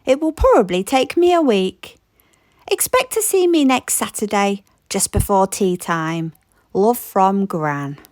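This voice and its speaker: A woman voicing a determined, loving old grandmother in a children's storybook, with a brisk, matter-of-fact delivery. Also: medium pitch, big pitch swings, darkish tone, no echo.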